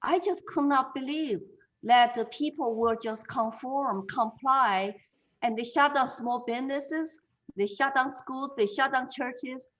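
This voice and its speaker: A woman's voice: high voice, fairly bright tone, muffled recording. A woman from China with a Chinese accent, speaking as an interview guest in an earnest, emphatic way. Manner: earnest, emphatic, with frequent restarts. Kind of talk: passionate conversational interview.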